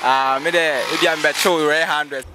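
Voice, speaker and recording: A man's voice: on the high side, fairly even intonation, moderate pace, over loud music. A man with a Ghanaian accent chatting casually as he answers a street interview. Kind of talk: casual chat. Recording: noisy street recording with clicks.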